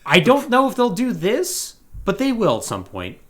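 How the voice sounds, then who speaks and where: An animated podcast host talks casually about games. The pitch moves widely around a middle register, and the delivery often tips into sarcastic asides. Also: moderate pace. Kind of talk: casual video game discussion.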